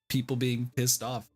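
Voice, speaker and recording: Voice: medium pitch, husky. A game streamer chatting casually on a livestream in a blunt, sweary, rambling way, saying "like" a lot. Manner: rambling, repetitive, heavy use of "like". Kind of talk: casual livestream chat over gameplay. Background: video game music.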